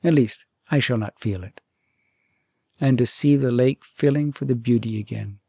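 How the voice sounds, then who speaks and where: A man's voice: medium pitch, moderate pace, vocal fry, darkish tone, husky, no echo, clean studio sound. A man voicing a lovelorn, self-sacrificing young fairytale prince, musing and nonchalant, in a storybook reading. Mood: resolved, tender. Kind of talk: storybook character acting.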